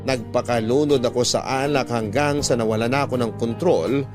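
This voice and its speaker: A man reading a first-person letter as a radio storyteller, with sentimental, measured phrasing. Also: medium pitch, steady tone. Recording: music under the voice.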